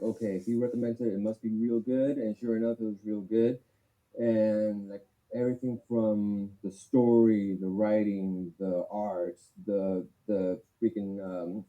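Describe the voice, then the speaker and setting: A man's voice: fairly low pitch, narrow, tinny audio. A man eagerly reviewing comics in a casual chat, rambling with lots of filler words. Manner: excited, rambling with many fillers. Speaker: guest reviewer.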